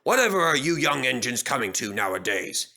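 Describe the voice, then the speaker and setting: A man's voice: mid-range voice, very animated, fairly quick, vocal fry, bright, forceful tone, full character voice. A man voicing a proud, kindly old steam engine who scolds in a stern, old-fashioned way, in a storybook reading. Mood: indignant.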